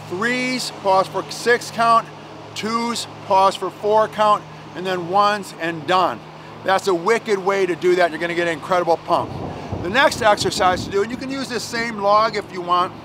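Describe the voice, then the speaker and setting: A man's voice: sits high, a bit bright, a little hiss or hum. A man in his forties, a fitness coach demonstrating a workout outdoors at a park playground, talking energetically with casual slang.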